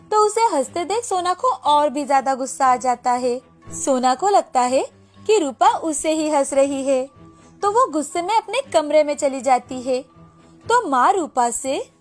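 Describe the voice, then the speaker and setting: A woman narrating a scripted storybook tale as the storyteller, with music behind her. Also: high voice. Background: background music.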